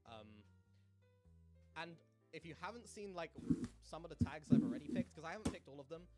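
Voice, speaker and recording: A man's voice: a little high, brisk, loud music behind the voice. A man with a Cockney accent chatting playfully on a stream, laughing often and teasing his viewers. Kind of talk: casual stream chat.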